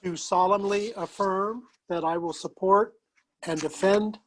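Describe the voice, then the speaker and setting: A man with a rather high voice reads out an oath of office line by line. His voice is giving out, and he talks in a halting, apologetic way over a home microphone on a video call. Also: steady tone, slight background noise, low-quality recording. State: losing his voice. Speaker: board member leading the oath. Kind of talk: reading an oath aloud.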